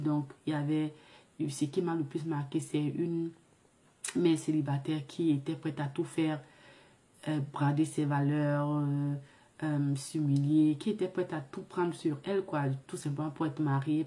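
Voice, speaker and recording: Flu-ridden woman vlog host, low and monotone, halting and reflective. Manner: halting, many fillers and restarts; earnest and reflective. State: sick with the flu. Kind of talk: casual vlog monologue.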